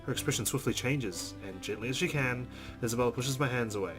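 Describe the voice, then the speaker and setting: A man's voice: mid-range voice, fast talker. A man reading visual novel narration aloud as a streamer, stumbling now and then and dropping in amused asides. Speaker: let's play streamer. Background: guitar music from the game.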